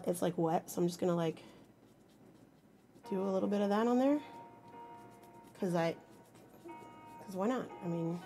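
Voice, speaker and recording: A hoarse woman with a husky voice, sick with a chest illness, rambling offhandedly while crafting on a live stream. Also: on the low side, mellow. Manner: rambling, offhand, self-deprecating. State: hoarse, sick with bronchitis and pneumonia. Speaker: mixed media artist streaming live. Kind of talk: casual livestream chat while crafting.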